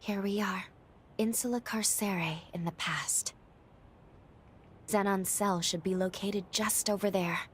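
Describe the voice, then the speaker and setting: Actress as young female companion, game drama, soft, earnest, slow pace, faint background rumble. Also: steady tone, lightly acted. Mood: hushed, focused. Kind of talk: dramatic video game acting.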